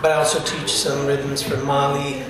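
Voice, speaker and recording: A man's voice: mid-range voice, completely flat delivery, normal speed, crowd noise. A man from Italy who teaches drumming, explaining things casually with many fillers. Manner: chatty, explanatory, lots of fillers. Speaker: drum teacher. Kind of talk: casual interview answers. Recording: echoey room, distant mic, noisy.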